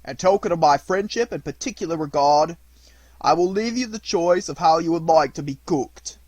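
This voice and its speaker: Man voicing a loud, gloating monster fisherman. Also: a little high, normal speed, no echo, voice pushed up, lightly acted. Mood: mock-courteous. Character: menacing green fisherman monster, gloating, mock-courteous.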